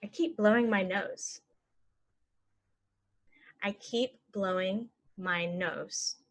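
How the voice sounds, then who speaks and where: A woman teaching English phrases, saying each one and then repeating it slowly and clearly for learners. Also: medium pitch. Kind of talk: slow phrase-by-phrase language lesson.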